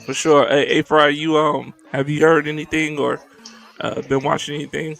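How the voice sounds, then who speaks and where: Laid-back gravelly male podcast host. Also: a little high, little pitch movement, moderate pace, a bit bright, soft background music. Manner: laid-back, joking, laughs often. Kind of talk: casual anime podcast chat.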